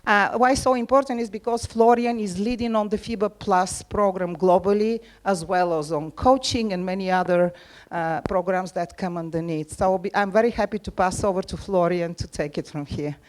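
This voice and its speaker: A woman's voice: medium pitch, normal speed. A woman introducing a colleague at a seminar, friendly and laughing lightly as she speaks. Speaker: event introducer.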